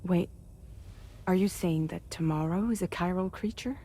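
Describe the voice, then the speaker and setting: Tough lady commander, really low mellow voice, makes the call. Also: normal speed, slightly rough. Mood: firm. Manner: firm, decisive. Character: firm female commander taking charge. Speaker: commanding officer.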